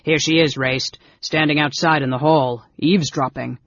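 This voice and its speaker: A performer voicing a big, gentle, slow-witted male warrior with an awkward, hesitant, good-natured manner, in a fantasy story. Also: medium pitch, fairly even intonation, no echo, lo-fi sound. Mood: astonished.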